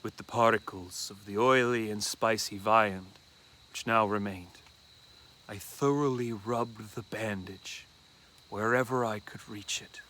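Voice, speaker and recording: A man reading a classic horror story aloud as dramatic first-person narration, deliberately and with dramatic pauses. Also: on the low side, fairly even intonation, bright, forceful tone.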